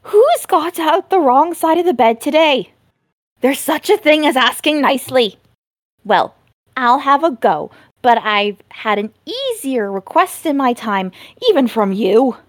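A high, bouncing little imp voice, cheeky and grumbling as a woman narrator puts it on. It swoops up and down, loud and close, with a dry sound from the storybook reading. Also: expressive, unhurried, no echo. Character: cheeky, weary little male imp in a bronze disc.

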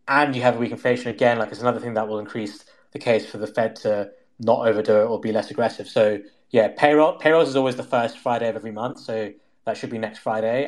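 A man with a medium-pitched voice talks through market analysis casually. He explains step by step in a conversational style, often qualifying what he says and searching for his wording. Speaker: market commentator guest.

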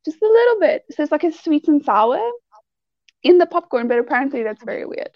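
A woman's voice: high voice, sing-song, fairly quick, no room sound, lo-fi sound. A woman, a guest chatting casually and in a bubbly way. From South Africa. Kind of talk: casual chat.

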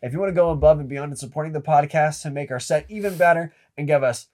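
A man's voice: a little high, fast. A man co-hosting a podcast in casual chat, losing his voice and hyping the show's plugs, on a studio podcast mic. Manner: relaxed, plugging the show with hype. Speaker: podcast co-host.

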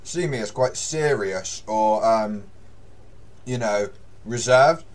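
A young man with a deep voice, a guest on a podcast, chatting in a friendly way with frequent fillers. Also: takes it slowly. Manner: friendly, laughs often, hesitant fillers. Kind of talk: casual chat.

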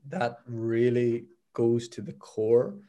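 Earnest Northern Irish man, mellow voice. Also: mid-range voice, little pitch movement, takes it slowly, dull audio. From Northern Ireland. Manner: earnest, searching, repeats words while thinking. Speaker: guest. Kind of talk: reflective conversational podcast talk.

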